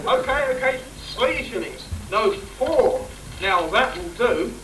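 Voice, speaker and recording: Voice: medium pitch, expressive, takes it slowly, fairly bright tone. An amateur actor playing a comic, haggling character in a Christmas pantomime. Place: stage performance before a live audience. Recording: echoey, noisy amateur stage recording, distant.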